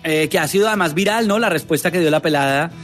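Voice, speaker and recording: High-voiced male co-host, playful casual banter. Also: fast talker, music in the background. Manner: chatty, playful. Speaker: radio co-host.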